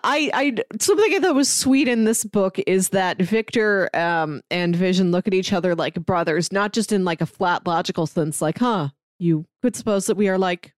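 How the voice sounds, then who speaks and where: A woman's voice: medium pitch, moderate pace, hardly any pauses, no room sound, studio quality. A woman co-hosting a podcast, commenting casually and acting out bits of paraphrased dialogue. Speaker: comics podcast co-host.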